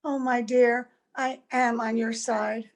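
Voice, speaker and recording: Woman acting a high-voiced, wistful gentlewoman. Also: little pitch movement, takes it slowly. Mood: gentle, concerned. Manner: earnest, gentle, melodramatic. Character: wistful, secretive mother posing as a young visitor. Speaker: actor in an amateur radio play. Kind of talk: old-time radio drama acting. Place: at home, recorded over video call.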